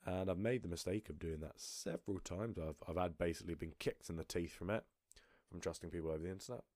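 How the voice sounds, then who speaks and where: Low-voiced guy rambling. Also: steady tone, fast, a little mellow, no room sound, studio quality. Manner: rambling, frequent restarts and stammered repeats. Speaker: podcast host. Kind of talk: casual solo podcast monologue.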